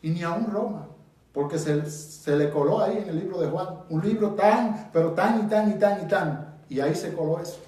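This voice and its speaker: A man's voice: high-pitched, normal speed, warm tone. A man with a Dominican Spanish accent leading an interactive Bible study, asking his group questions and pressing his points emphatically. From Dominican Republic. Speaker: Bible teacher. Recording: echoey room from far off.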